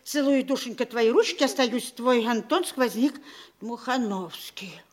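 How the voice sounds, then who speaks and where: Woman acting a flustered, high-pitched provincial lady. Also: lightly acted. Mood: flustered, puzzled. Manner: flustered, exclamatory, bossy, quick to bicker. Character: fussy, vain mayor's wife, a provincial lady and mother. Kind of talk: theatrical radio play acting.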